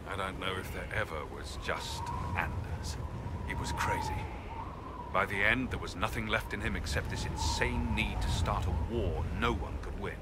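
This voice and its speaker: Under loud ambient noise, an actor plays a very low-voiced male champion from a fantasy game. He is weary and dutiful, speaking with measured, understated gravity and quiet resolve. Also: lightly acted. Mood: somber.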